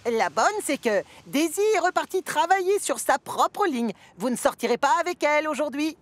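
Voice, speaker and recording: A cheerful young cartoon engine. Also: female voice, high-pitched, expressive, moderate pace. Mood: friendly. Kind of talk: French cartoon dub.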